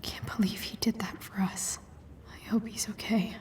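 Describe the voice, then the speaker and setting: A woman playing a wry, sweary, guarded but caring teenage girl in a video game, muttering sardonically in breathy asides. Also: mid-range voice, level tone, normal speed, darkish tone, whispered, close to an everyday voice. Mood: worried. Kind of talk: naturalistic video game voice acting.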